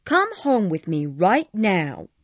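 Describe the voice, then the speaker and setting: A woman's voice: mid-range voice, wildly swooping pitch, slow pace, no echo, exaggerated voice. A woman playing a stern, worried servant who minds a young girl in an audiobook story, scolding in a serious, emphatic way. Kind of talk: dramatised audiobook dialogue.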